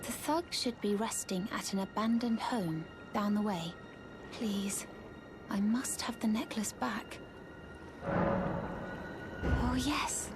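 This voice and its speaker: An actress playing a courteous servant of a noble house in a fantasy game, formal and polite, in a breathy half-whisper. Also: female voice, medium pitch. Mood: pleading, gentle. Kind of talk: video game character acting. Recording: music behind the voice.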